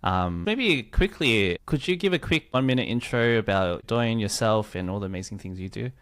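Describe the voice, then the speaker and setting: A man's voice: fairly low pitch, animated, moderate pace, clean tone. A man hosting a podcast, reading the guest's introduction and then chatting upbeat with him. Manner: upbeat, warm praise of guest. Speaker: podcast host. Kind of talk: scripted intro, then casual interview.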